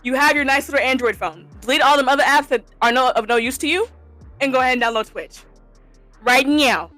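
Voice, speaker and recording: A woman's voice: high voice, fairly quick, sharp tone, no echo, soft background music. A woman in her twenties, a podcast guest, chatting casually and playfully with jokes and teasing. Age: 20s.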